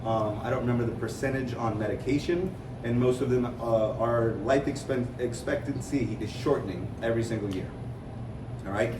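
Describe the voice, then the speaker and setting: A man's voice: medium pitch, normal speed. An American man giving an informal fitness seminar to a group in a conversational, rambling way, explaining with analogies. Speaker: fitness coach leading a seminar. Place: room with an audience, mic at a distance. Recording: roomy, distant mic, clear background noise.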